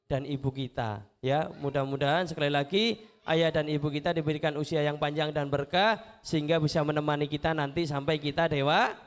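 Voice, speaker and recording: A man giving emotional, repetitive motivational and devotional talk to schoolchildren. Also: a little high. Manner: pleading, repetitive phrasing with drawn-out invocations. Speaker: motivational speaker and storyteller for schoolchildren. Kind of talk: emotional led prayer and motivational talk to children. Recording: loud music under the voice. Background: loud instrumental music.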